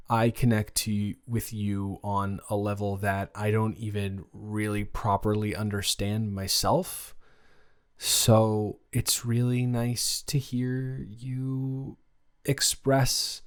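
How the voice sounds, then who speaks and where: Guy acting as a sweet nervous college dormmate guy in a romance audio, medium pitch, rambly and stammery, super earnest. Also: natural voice. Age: young adult. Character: flustered, sweet young male college dormmate falling in love. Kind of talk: romantic roleplay audio drama, naturalistic casual chat.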